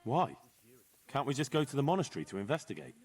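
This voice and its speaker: A man's voice: mid-range voice, big pitch swings, fast talker, big-room sound, faint music behind, close to an everyday voice. Man as dry, confident young medieval man-at-arms. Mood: dutiful. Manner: plain-spoken, dry. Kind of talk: video game voice acting.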